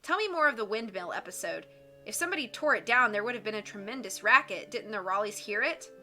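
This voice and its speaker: A woman reading aloud, voicing a calm, sharp young woman detective who talks things through in a brisk, reasoning way. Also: mid-range voice, faint music behind. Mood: thoughtful.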